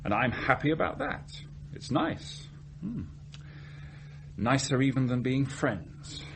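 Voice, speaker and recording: An actor playing an earnest, lovestruck research scientist in a radio play, confessing his feelings in a hesitant, deliberate way. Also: medium pitch, very animated, creaky, fairly bright tone, slight background noise, full character voice. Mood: earnest, measured. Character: earnest, lovestruck, self-searching male research scientist from genteel Salisbury. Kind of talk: radio drama acting.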